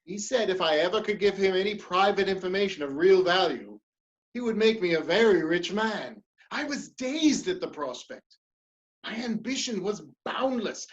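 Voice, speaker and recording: A woman's voice: lowish voice, expressive, moderate pace, lo-fi sound, lightly acted. A woman playing a proud, ambitious male politician in a dramatic play reading, speaking with theatrical emphasis and defiance. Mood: earnest, fervent.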